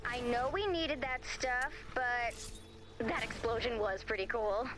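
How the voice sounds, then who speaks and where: An actress voicing a bold, bossy and fiercely loyal girl in an animated video game, blunt and expressive. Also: fairly high pitch, unhurried, music in the background, low-quality recording. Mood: wry, musing.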